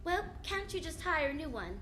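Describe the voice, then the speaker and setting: A breezy lady private eye narrates and banters in a live radio play. Her bright, fairly high voice is sassy and wry, always ready with a wisecrack. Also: very animated, normal speed.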